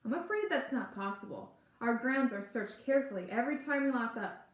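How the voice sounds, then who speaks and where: A woman's voice: fairly high pitch, brisk, natural voice. A woman voicing a male cemetery groundskeeper in a storybook, firm and plain but kindly. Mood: firm, dismissive. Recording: echoey room.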